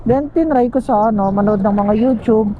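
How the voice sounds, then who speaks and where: A woman's voice: a little high, moderate pace, lo-fi sound. A woman with a Filipino accent chatting casually and jokingly with a passenger as a motorcycle taxi rider and vlogger. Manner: joking, teasing. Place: on a motorcycle on the street. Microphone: helmet intercom headset. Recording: clear traffic and engine noise.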